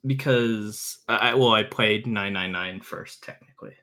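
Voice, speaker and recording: A man's voice: on the low side, normal speed, sharp tone. A man in his twenties, a podcast guest, explaining things casually and informally, often repeating words as he goes. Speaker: podcast guest, visual novel reviewer. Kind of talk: casual chat, explaining and recommending.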